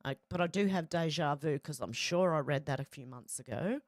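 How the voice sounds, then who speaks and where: A woman's voice: low-pitched, brisk, dry recording, studio quality. A woman from Ireland hosting an online news commentary show, reading posts aloud and reacting to them in a chatty, conversational way.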